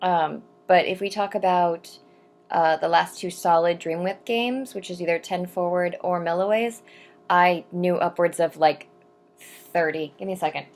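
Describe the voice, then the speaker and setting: A young adult woman chatting to camera in a casual vlog, rambling with frequent ums and asides, and reading poetry slowly and deliberately. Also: fairly low pitch, darkish tone. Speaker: vlogger answering viewer questions.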